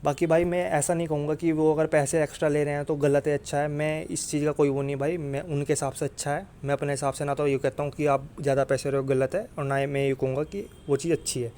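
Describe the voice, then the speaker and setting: A man's voice: fairly high pitch, little pitch movement, fast talker, hardly any pauses, dark tone, slight background noise. A man from India with a North Indian accent, giving an informal explainer straight to viewers in a blunt, insistent manner, repeating his points and checking they follow. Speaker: exam coaching YouTuber.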